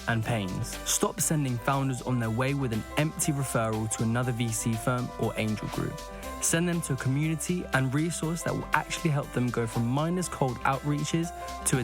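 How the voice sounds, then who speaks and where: A man reading a scripted promotional spot for a podcast. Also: mid-range voice. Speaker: podcast announcer. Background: music.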